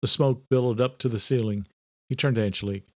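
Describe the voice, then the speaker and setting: A man's voice: mid-range voice, fast talker, dry recording, studio quality. A man reading scripted story narration as an audiobook narrator.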